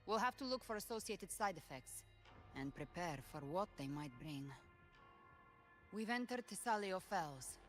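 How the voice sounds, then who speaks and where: A young woman warrior in a fantasy game, cool and commanding. Her phrases are terse and assured, with lively, swinging intonation. Soft music runs underneath. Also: fairly low pitch, measured pace. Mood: guarded, serious. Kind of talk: video game voice acting. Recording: music behind.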